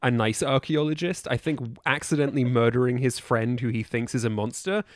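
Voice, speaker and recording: A man in a casual book discussion, talking in a halting, conversational and appreciative way. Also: mid-range voice, steady tone, no echo.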